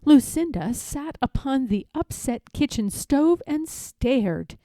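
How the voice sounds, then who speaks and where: A woman reading a children's story aloud in an expressive, measured, emphatic storytelling manner. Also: high-pitched, no echo. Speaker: storybook reader.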